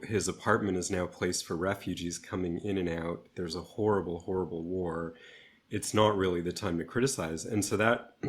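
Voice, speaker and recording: A man speaking reflectively as an interview guest, with thoughtful, deliberate phrasing. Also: sits low. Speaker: interview guest, theatre artist.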